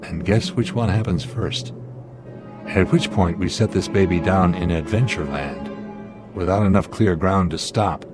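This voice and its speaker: An actor playing a steady, authoritative airline captain in a radio drama, reasoning deliberately and giving commands. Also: male voice, deep voice, over music, close to an everyday voice. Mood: grim, determined.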